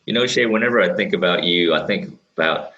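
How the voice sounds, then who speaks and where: A man's voice: sits low, quick pace, pushed and bright, lo-fi sound. A man co-hosting a podcast, asking questions in a casual, familiar, conversational way. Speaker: podcast co-host interviewer.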